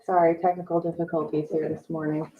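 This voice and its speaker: A woman's voice: low voice, level tone, fast. A woman chatting casually and practically as she helps with setup at a public meeting. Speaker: meeting staffer helping with presentation setup. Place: indoor public meeting room. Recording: dull, noisy meeting room pickup.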